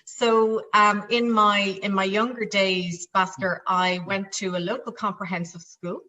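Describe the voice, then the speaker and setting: A woman's voice: mid-range voice, little pitch movement, measured pace, sharp tone, low-quality recording. A middle-aged woman with a Dublin Irish accent, a company chief executive answering interview questions in a chatty way, with fillers and restarts. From Ireland.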